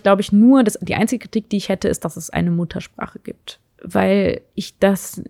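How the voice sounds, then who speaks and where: A woman's voice: mid-range voice, creaky, darkish tone, dry recording, clean studio sound. Thoughtful woman musing with many fillers. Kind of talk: casual reflective monologue.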